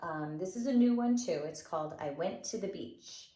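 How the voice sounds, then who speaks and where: A woman's voice: lowish voice, lively intonation, moderate pace, mellow. A woman nursery school teacher leading children's circle time with rhymes and finger plays in a playful, encouraging, sing-song way. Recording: roomy, echoing indoor sound.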